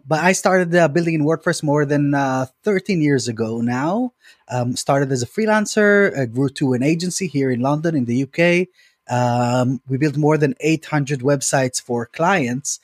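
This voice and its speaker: A Hebrew-native man, a podcast guest, speaking in a measured way with frequent fillers. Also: medium pitch, no room sound, studio quality. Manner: measured, hesitant with many fillers. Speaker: guest, company founder. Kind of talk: casual podcast interview.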